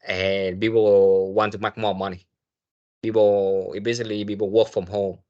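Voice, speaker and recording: A man, speaking haltingly as he answers questions in an online meeting as a guest investigator. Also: fairly low pitch, level tone, dark tone, muffled recording. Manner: halting, hesitant phrasing.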